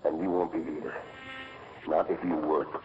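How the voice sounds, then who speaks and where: A man's voice: very deep voice, little pitch movement, normal speed, lo-fi sound. A man playing a cynical, power-hungry rogue agent in a video game, persuasive and coolly self-assured, with noise behind him. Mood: cold, menacing. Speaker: rogue agent and faction leader. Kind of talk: cinematic video game acting.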